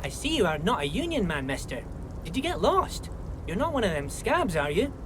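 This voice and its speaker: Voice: mid-range voice, very animated, brisk, noisy background, close to an everyday voice. An actor playing a cheery, folksy dockworker in a game, with a thick foreign accent and a friendly, sing-song manner. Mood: friendly, curious. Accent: thick fictional foreign accent. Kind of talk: scripted game character dialogue.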